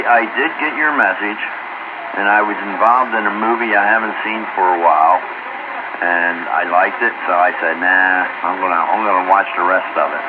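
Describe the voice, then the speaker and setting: A man with a lowish voice chats over a dull, band-limited radio link with clear noise behind him. He rambles in a folksy, casual way and often repeats himself. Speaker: radio operator chatting on a group call. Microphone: radio transmission.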